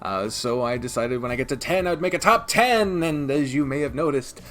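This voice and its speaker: A man with a mid-pitched voice that moves up and down in a lively way. He talks casually as a reviewer, sardonic and quick to swear, often cutting into his own sentences. Faint music plays behind him. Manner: sardonic, sweary, self-interrupting. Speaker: video reviewer and podcast host. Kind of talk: casual opinionated countdown commentary to camera.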